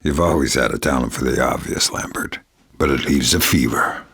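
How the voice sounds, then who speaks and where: An actor playing a grizzled, middle-aged male covert agent in a video game, with a gravelly, deadpan, quietly threatening delivery. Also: rumbling low, normal speed, heavily acted. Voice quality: gravelly, husky. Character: grizzled veteran male covert agent, menacing, sardonic, dry-witted. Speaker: video game lead character. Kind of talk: dramatic video game acting.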